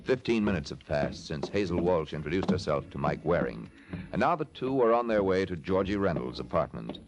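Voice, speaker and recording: A man's voice: low-pitched, lively intonation, a little fast, music in the background. A man announcing in a scripted 1940s radio style, with a polished, emphatic salesmanlike delivery. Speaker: announcer, narrator and sponsor pitchman.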